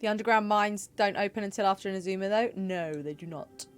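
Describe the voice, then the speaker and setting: A woman casually chatting and laughing while playing a game on stream, in a relaxed, playful way. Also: medium pitch, fairly quick, soft background music. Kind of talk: casual gameplay commentary.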